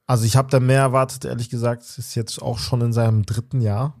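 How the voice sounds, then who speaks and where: Male podcast co-host, mid-pitched voice, relaxed and slangy. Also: fast talker. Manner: casual slang, trails off, thinks aloud. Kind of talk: casual chat.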